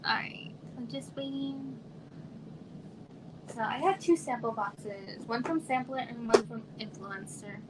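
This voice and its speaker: A soft-spoken woman from Arizona, with a somewhat high voice, chats casually with viewers from home. She trails off and now and then sinks to a near whisper. Steady hiss and room noise sit under the livestream audio. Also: unhurried. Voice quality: quiet voice. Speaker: livestream host. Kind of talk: casual live chat with viewers.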